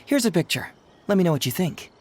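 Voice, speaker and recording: A man's voice: sits high, big pitch swings, quick pace, a little mellow, soft background music. A man voicing a sly, scheming young detective in a video game, persuasive and coaxing.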